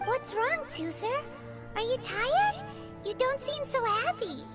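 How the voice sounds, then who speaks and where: A woman's voice: very high-pitched, heavily acted. A woman voicing a tiny, chatty female fairy guide in a video game, talking about herself in the third person, with game music behind. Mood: curious. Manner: chirpy, excitable, speaks of herself by name.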